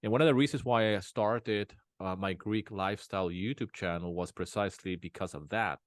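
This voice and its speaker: A man's voice: fairly low pitch, fairly quick. A man as an interview guest, talking casually at home with many restarts and fillers. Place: home in a small town.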